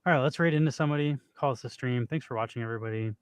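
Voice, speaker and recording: A hoarse man co-hosting a stream, chatting casually and rambling with lots of "like". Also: mid-range voice, racing, no echo. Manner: rambling, heavy use of "like". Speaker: streamer co-host.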